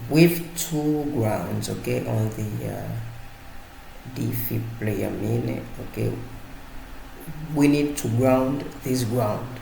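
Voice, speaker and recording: Very slow, gravelly, halting man. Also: mid-range voice. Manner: halting, searching for words, repeating himself. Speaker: presenter of an electronics tutorial. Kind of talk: casual unscripted hands-on tutorial. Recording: roomy echo, faint background noise.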